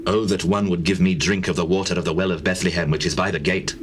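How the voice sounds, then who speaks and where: A man's voice: low voice, fairly even intonation, quick pace, close to an everyday voice. An actor playing a weary, commanding king of ancient Israel in a solemn, declamatory scripture drama. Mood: longing. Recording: noisy old cassette tape dramatization.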